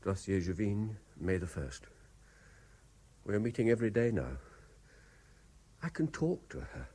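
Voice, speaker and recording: Shy, lovesick British bachelor, swooping pitch. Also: male voice, huge pitch swings, warm tone, husky, exaggerated voice. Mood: confiding. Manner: hesitant, halting, solicitous. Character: shy, lonely, lovesick bachelor, self-doubting and anxious. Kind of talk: 1970s British radio drama acting. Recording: noisy 1970s radio broadcast recording.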